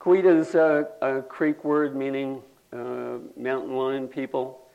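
An older man, telling folksy rambling stories to a gathering and clearing his throat now and then. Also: mid-range voice, a little mellow, dull audio. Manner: folksy, drawling, says y'all. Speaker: storyteller at a community gathering.

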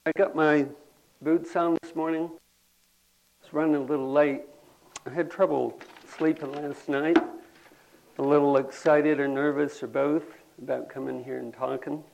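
An older man, telling folksy rambling stories to a gathering and clearing his throat now and then. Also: mid-range voice, a little mellow, a little hiss or hum, poor audio. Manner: folksy, drawling, says y'all. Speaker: storyteller at a community gathering.

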